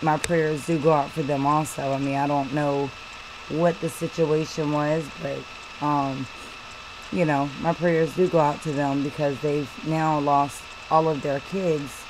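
A woman commenting on a news story, talking haltingly with many fillers and restarts. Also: low voice, flat delivery, background noise. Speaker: channel host reading news and commenting. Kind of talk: casual commentary over a screen recording.

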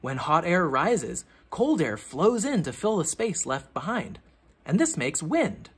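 A man reading a children's science book aloud like a teacher, with theatrical emphasis and clear explanatory phrasing. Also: on the high side, big pitch swings, measured pace.

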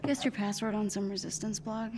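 An actress playing a young fugitive hero in a video game, earnest, plain-spoken and insistent. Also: female voice, mid-range voice, steady tone, a little fast, darkish tone, a little hiss or hum, lightly acted. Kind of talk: video game cinematic acting.

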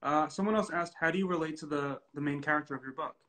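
A man's voice: a little high, fast talker, pushed and bright. A young man in his twenties casually hosting a live interview and putting questions to a guest. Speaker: host.

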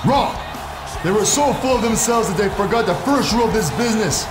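High, echoing, declamatory wrestling showman. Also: lively intonation, fairly quick. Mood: confrontational. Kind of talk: theatrical wrestling promo. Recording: echoey arena sound with music behind. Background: music.

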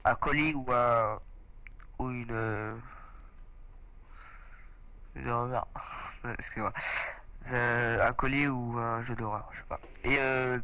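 A man's voice: medium pitch, slow pace, long pauses, smooth, poor audio. A young man, a bit stuffy from a cold, hosting a casual let's play chat and exclaiming often. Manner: excitable, chatty, often exclaims. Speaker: gaming channel host.

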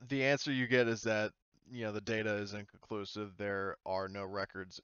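A man's voice: on the low side, fairly bright tone, clear, resonant tone, no room sound, lo-fi sound. A man running a tabletop game as game master, narrating off the cuff in an informal, explanatory way and swearing casually.

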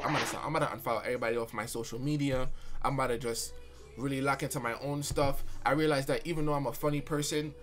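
A young man chatting casually on a live stream, slangy and animated as he reacts to viewer comments. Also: a little high. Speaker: livestreamer.

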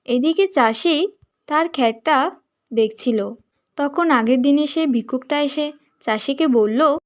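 A woman's voice: high voice, slow. A woman narrating a fairy tale as a scripted story.

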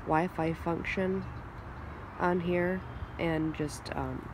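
A woman's voice: on the low side, steady tone, extremely slow, background noise. A woman in her thirties with a cold, chatting casually to a handheld camera on an outdoor deck, rambling with lots of ums. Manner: rambling, many ums and restarts. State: stuffed up with a cold. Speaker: family vlogger. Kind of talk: casual vlog chat. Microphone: handheld camera at arm's length.

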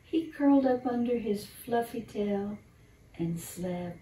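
An older woman reads a children's picture book in a gentle, unhurried, expressive bedtime style, talking to young listeners. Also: on the high side. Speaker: storyteller reading a picture book. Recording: roomy, distant mic, faint background noise.

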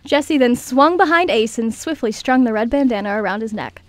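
A woman's voice: fairly high pitch, animated, a little fast, dry recording. A woman reading scripted story narration as the narrator of a radio skit.

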